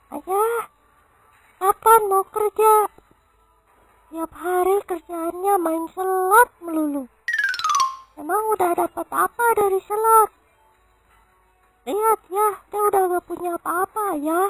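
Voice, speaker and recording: A woman's voice: very high-pitched, slow pace, long pauses, music in the background, heavily acted, rough recording. A woman playing a fed-up, scolding wife and mother in a comic cartoon. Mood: nagging. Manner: scolding, complaining.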